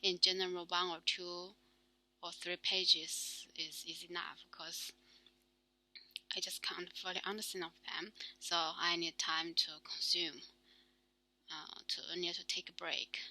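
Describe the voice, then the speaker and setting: Young Chinese-accented woman, slow hesitant solo talk, fillers and restarts, somewhat low voice. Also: flat delivery, sharp tone, poor audio. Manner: halting, many fillers and restarts. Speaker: solo talk show host. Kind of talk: casual spoken practice monologue.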